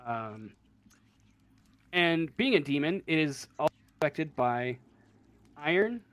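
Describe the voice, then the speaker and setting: A man's voice: fairly high pitch, sing-song, takes it slowly, fairly bright tone, dry recording. A man acting as game master, casually explaining a tabletop roleplaying game in a hesitant way, as if thinking aloud.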